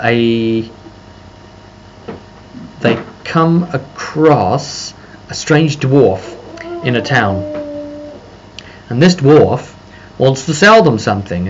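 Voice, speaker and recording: A man giving a casual, rambling recap as a game master, halting and circling back over his phrases. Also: mid-range voice. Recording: muffled lo-fi audio with background noise.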